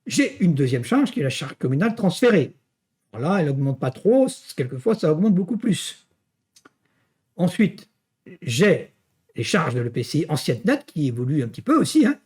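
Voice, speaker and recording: A man's voice: on the high side, very animated, moderate pace, a little mellow. A man giving an explanatory lecture, didactic, often checking in and posing rhetorical questions as he walks through his material. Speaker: university lecturer. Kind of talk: explanatory finance lecture walking through slides.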